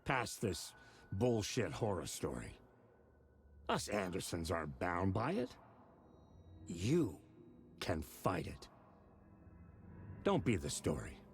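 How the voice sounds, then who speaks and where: An actor playing a gruff, foul-mouthed old grandfather full of regret and defiance in a video game, speaking bluntly and with weight. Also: low voice, very animated, slow pace, pauses often, full character voice. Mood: urging, defiant. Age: older. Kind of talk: video game acting, dramatic.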